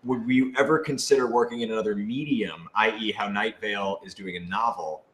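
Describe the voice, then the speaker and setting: A man's voice: on the low side, brisk, a bit bright. A man talking casually in a group discussion as one of a show's cast and producers. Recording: echoey room, faint background noise.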